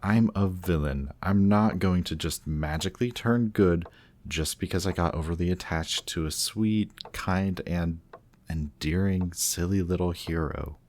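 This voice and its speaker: A man playing a grumpy, guarded villain who is secretly softhearted, speaking haltingly and defensively in an intimate one-sided roleplay audio. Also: deep voice, slight background noise. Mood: grudgingly fond. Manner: halting, defensive, reluctantly tender. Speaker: voice actor.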